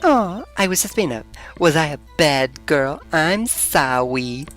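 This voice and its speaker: Guy putting on a ditzy flirty lady witness, coy and sing-songy, higher voice, livelier. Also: animated, slow, fairly bright tone, soft background music. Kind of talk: voicing game characters.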